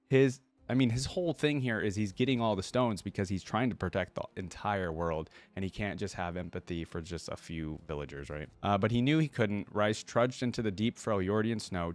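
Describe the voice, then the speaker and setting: Low-pitched man, casual reaction host. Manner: informal, exclamatory, often trailing off and rephrasing. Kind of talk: casual reaction commentary mixed with reading a story aloud.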